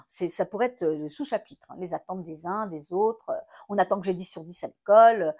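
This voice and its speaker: A woman's voice: mid-range voice, darkish tone. A French woman explaining her therapy approach in a teaching talk, full of fillers and restarts, often asking herself rhetorical questions. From France. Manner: thinks aloud with many fillers and restarts, asks rhetorical questions. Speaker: astrotherapist and trainer.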